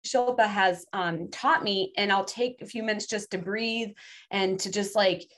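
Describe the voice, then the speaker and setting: A woman whose first language is French chats earnestly in English on a podcast, circling back and restarting her sentences as she thinks aloud. Her voice sits at an ordinary, middle pitch. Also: fairly even intonation, measured pace, fairly bright tone. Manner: earnest, rambling, many restarts. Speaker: podcast guest, language instructor. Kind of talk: casual interview chat.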